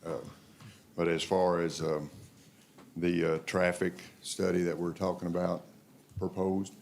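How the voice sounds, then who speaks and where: A man, an engineer addressing a planning commission in a halting way, with many fillers and restarts. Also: low voice, little pitch movement, gravelly, darkish tone, a little hiss or hum. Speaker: engineer for applicant. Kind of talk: public comment at meeting.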